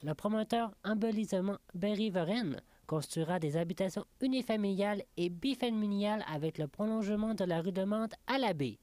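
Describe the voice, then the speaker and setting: A woman reporter reading a scripted news piece in a steady, formal way. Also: medium pitch, fairly quick, few pauses, dry recording.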